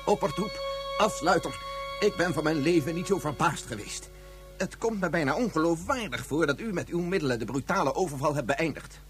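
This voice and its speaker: A man playing an astonished, agitated space traveller in a science fiction radio play, speaking formally and haltingly. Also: a little high, expressive, a bit bright, soft background music. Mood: astonished, eager.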